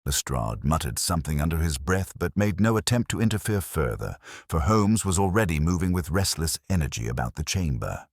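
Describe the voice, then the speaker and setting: A male narrator with an extremely low voice reads a period detective tale. His delivery is formal and measured yet expressive in pitch, and the recording is dry and close. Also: no echo. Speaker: audiobook narrator.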